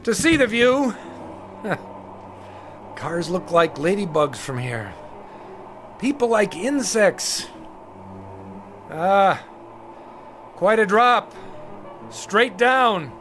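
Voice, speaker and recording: Haunted male patient, higher-pitched voice, halting, edgy, confiding, radio drama, music underneath. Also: sing-song, a bit bright, heavily acted. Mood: restless, evasive. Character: haunted, sleepless male patient afraid of his own imagination. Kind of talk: audio drama acting.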